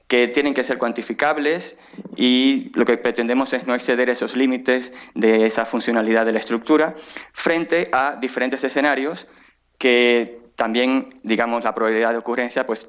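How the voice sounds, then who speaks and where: A man from the Dominican Republic giving an academic lecture as a guest professor, explaining things in an informal, conversational way. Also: mid-range voice.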